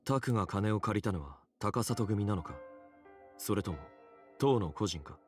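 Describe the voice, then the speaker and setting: A man's voice: lowish voice, expressive, fast talker, natural voice. An actor playing a cool, blunt male protagonist in a visual novel game. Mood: cool, probing. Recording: game audio with music.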